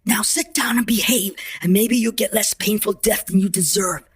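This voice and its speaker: A man's voice: sits high, normal speed, slight background noise, voice pushed up, lightly acted. Man putting on a sneering arrogant sorceress. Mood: contemptuous. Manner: sneering, commanding. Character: arrogant scornful female sorceress, cult schemer. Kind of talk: audiobook character voice. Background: background music.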